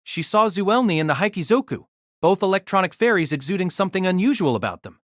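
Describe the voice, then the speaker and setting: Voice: medium pitch, animated, fast, dry recording, clean studio sound. A narrator reading scripted third-person story narration for an audio drama.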